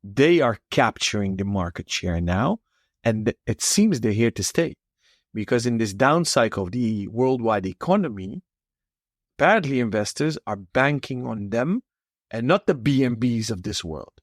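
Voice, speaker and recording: A man co-hosting a casual podcast chat, thinking aloud in a measured way with pauses. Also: medium pitch, animated, no room sound, studio quality. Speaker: podcast co-host.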